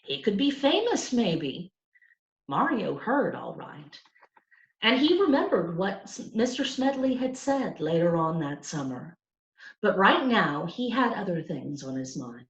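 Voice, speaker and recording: A woman reading a children's story aloud with expressive, emphatic narration. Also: fairly low pitch, measured pace. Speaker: storybook reader. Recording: roomy, slightly dull sound.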